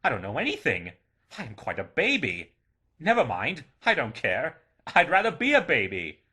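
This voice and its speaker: A man playing a jubilant old miser reborn in a storybook reading, excitable and exclamatory with childlike glee. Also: mid-range voice, normal speed, breathy edge, heavily acted. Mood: carefree giddiness. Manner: exclamatory, breathless, whooping with delight.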